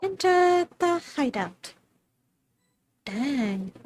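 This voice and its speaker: A woman with an Indian accent reacts casually while streaming a game. Her voice is high and she slips between Hindi and English, with soft music behind. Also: very animated, drawn-out words. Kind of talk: casual live gameplay commentary.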